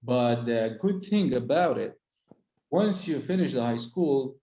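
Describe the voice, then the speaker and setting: A man's voice: medium pitch, expressive, a little slow, dark tone, echoey room, dull audio. A middle-aged man, a tour guide whose first language is Arabic, talking casually with lots of "uh" and restarts. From Holy Land. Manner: hesitant, many fillers and restarts. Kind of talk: casual conversation.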